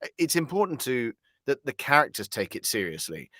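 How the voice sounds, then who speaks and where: A man with a mid-pitched voice chats casually as an interview guest. He is hesitant and self-deprecating, often trailing off, and the sound is very dry and close. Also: sing-song, fairly quick, a bit bright, dry recording. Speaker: voice actor guest.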